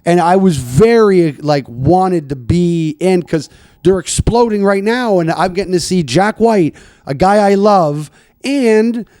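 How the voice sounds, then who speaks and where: A man with a somewhat high voice hosts a loose comedy chat, riffing, swearing freely and restarting his sentences. The sound is dry and close. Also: lively intonation, a little slow, darkish tone, dry recording. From Canada. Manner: riffing, profane, rambling with restarts. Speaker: podcast host.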